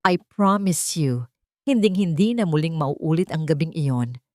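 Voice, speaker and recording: A Filipino woman voicing a gentle, earnest young male lawyer who reassures his beloved in an audio romance story. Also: low-pitched, measured pace, no room sound, clean studio sound. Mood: solemn promise.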